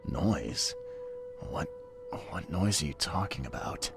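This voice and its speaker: A man voicing a private investigator who asks calm, dry questions in a video game cutscene. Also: deep voice, slow, vocal fry, over loud music, heavily acted.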